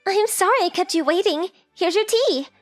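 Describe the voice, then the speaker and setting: A woman's voice: very high voice, lively intonation, normal speed, faint music behind. A woman voicing a sweet, polite rich teenage girl who is coy and forward, in a romantic comedy comic dub. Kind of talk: anime-style comic dub dialogue.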